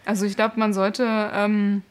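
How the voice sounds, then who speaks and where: A woman's voice: medium pitch, level tone, a little slow. A woman in casual conversation, talking hesitantly with many fillers. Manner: hesitant, lots of fillers and restarts. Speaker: conversation partner. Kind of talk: casual chat.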